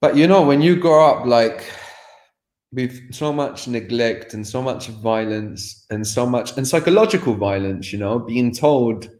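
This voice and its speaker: A man's voice: medium pitch, animated, a little slow, a little mellow. A man in his thirties, a guest on a video call, talking candidly and at length, often laughing and restarting his sentences. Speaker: guest, language course creator. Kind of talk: candid personal conversation. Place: home room by a sofa. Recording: echoey room over video call.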